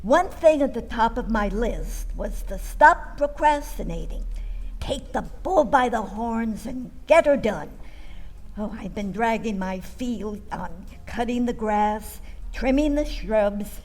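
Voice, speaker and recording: A woman playing a chatty, folksy storyteller, telling a homespun first-person tale in an expressive theatrical way. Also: on the high side, slow. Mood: folksy, cheerful. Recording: long hall-like reverb.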